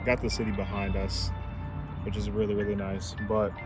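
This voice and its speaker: Low-voiced young man musing aloud. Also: completely flat delivery, normal speed, music in the background. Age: 20s. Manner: rambling, hesitant, thinking aloud. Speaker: vlogger. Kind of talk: casual reflective vlog. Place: outdoors near a car with a city view.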